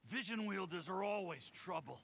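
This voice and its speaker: Menacing gangster henchman, animated, fairly high for a man, video game, faint noise. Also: normal speed, gravelly, heavily acted. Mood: irritated. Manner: gangster slang, threatening. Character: menacing street thug, male, cocky and threatening.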